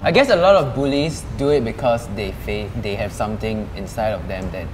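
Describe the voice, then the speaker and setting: A man's voice: brisk, a little mellow. A young man hosting a casual group chat and putting questions to the others. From Singapore and Cambodia. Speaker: host reading out questions. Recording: echoey hall with rumbling background noise.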